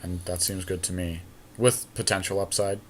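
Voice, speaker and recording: A man with a fairly low voice chats casually as a podcast co-host. He thinks aloud through his options in a card game, talking each one over in conversational style. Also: steady tone, normal speed. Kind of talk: casual chat while drafting a card game.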